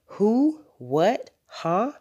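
A woman voicing a sleepy, startled mother in a children's storybook, objecting in drawn-out phrases. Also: fairly low pitch, very animated, dull audio, voice pushed up.

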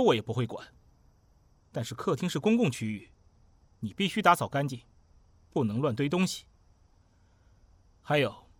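A man acting an aloof, fastidious young housemate in an audio drama, speaking in a curt, commanding way. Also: on the high side, no echo.

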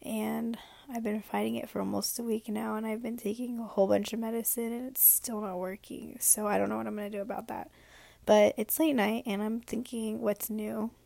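A sick young woman who is losing her voice talks in a rambling, reflective way in a late-night personal vlog. She is hoarse and sometimes drops into a whisper. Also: fairly high pitch, flat delivery, a little fast, warm tone, dry recording. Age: young adult. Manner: rambling, reflective, many fillers.

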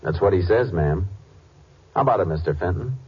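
An actor playing a calm, firm Texas Ranger, terse and polite, in a 1950s radio drama. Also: male voice, low voice, fairly quick, breathy edge, full character voice. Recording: lo-fi old radio, faint hiss.

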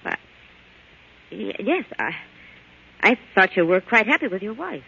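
A woman's voice: medium pitch, sing-song, a little slow, gravelly, pushed and bright, breathy edge, full character voice. A woman playing a hard, scheming, suspicious murder plotter in a 1940s American radio thriller, speaking in a tense, clipped, menacing way. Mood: wary, probing. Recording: muffled old-time radio recording.

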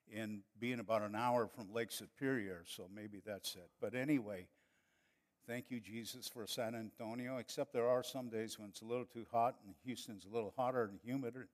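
An older man from northern Wisconsin with an Upper Midwest accent, preaching a folksy, chatty sermon that builds to fervent passages. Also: fairly low pitch. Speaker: pastor.

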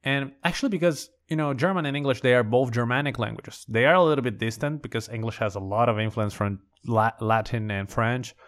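A man's voice: medium pitch, brisk, hardly any pauses, a bit bright. A man from Brazil with a Brazilian Portuguese accent, hosting a language-learning podcast in a conversational, think-aloud way. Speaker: podcast host.

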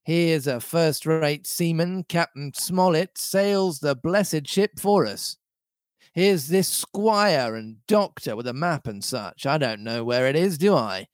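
A man's voice: fairly high pitch, lively intonation, slow pace, no room sound, studio quality. A man voicing a scheming, domineering pirate cook from a storybook, speechifying emphatically in seafaring dialect. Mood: impatient, boastful. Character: Long John Silver, scheming, domineering pirate sea cook.

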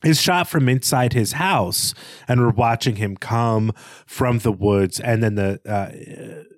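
A man co-hosting a casual, analytical film discussion, working out his thoughts aloud in a halting way. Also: mid-range voice, dry recording, clean studio sound. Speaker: podcast co-host.

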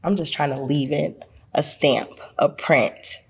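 Gravelly-voiced woman with a Boston accent. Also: low-pitched, measured pace. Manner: lively, laughing, many ums. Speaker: guest, actress. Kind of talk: casual interview chat.

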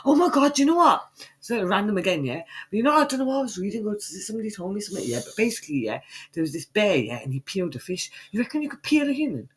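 A British woman with a stuffy, congested voice trades crude, swear-filled banter with a friend. Her pitch swings widely from line to line as she teases and shouts. Her delivery stays loud and playful. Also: sing-song, racing, hardly any pauses, low-quality recording. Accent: British English. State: congested with a cold. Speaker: podcast co-host.

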